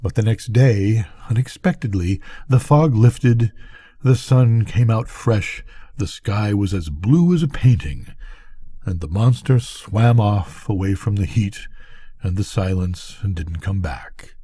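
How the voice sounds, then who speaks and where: A man's voice: fairly low pitch, expressive, slow, mellow, husky, no room sound, exaggerated voice. A man voicing a weary, brooding lighthouse keeper in a storybook, musing in a subdued, wistful way.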